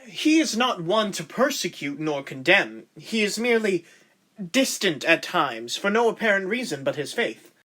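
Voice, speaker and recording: A man's voice: sits high, big pitch swings, normal speed, bright, forceful tone, exaggerated voice. A man playing a courteous, devoted young lieutenant who speaks in formal, flowery phrases in an amateur period audio drama. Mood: deferential.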